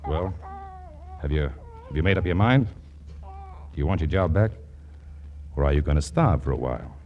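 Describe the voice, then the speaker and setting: An actor playing a pompous, earnest store boss in a 1940s radio comedy, blustering and lecturing, stammering when flustered. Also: male voice, rumbling low, lively intonation, normal speed, highs cut off. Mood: scolding, indignant. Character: pompous, earnest department store boss, fussy about instructions. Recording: noisy old 1940s radio broadcast.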